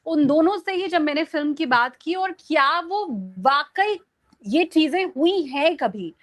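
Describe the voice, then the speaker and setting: A woman's voice: sits high, animated, measured pace, a bit bright. A woman giving conversational political commentary, emphatic and explanatory, repeating phrases for stress. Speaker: journalist guest on a discussion show.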